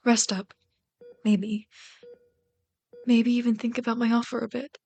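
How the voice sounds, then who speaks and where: Hushed, pleading lovesick villain played by a woman. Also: fairly high pitch. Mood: tender, apologetic. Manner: hushed, halting, intense, tender. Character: lovesick, conflicted villain pleading with wounded captive. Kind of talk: ASMR roleplay acting.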